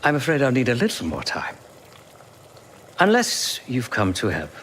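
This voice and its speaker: A man playing a remorseful, pacifist former enforcer in a video game cutscene, speaking in formal, measured, philosophical phrases. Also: mid-range voice, huge pitch swings, fairly bright tone, a little hiss or hum. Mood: dry, courteous.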